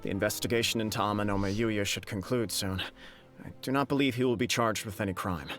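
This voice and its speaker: A man's voice: lowish voice, a little fast, natural voice. A man playing a courteous, dignified middle-aged government official in a video game, with a formal, polite delivery. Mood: welcoming. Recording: game music behind.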